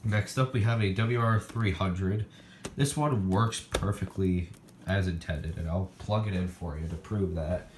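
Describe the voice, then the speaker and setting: A man with a deep voice talks through a casual, unscripted demonstration. He rambles and thinks aloud as he handles his gear. The room is echoey, and loud noise runs behind his voice. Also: unhurried. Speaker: hobbyist showing a weather radio collection. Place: indoor room, recorded while handling radios.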